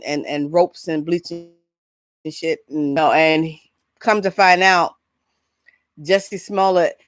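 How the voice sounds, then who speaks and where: A woman's voice: lowish voice, dry recording. Rambling woman vlogger, dry close sound. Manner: rambling, halting, informal with mild swearing. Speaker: vlog host. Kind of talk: casual chat, entertainment gossip.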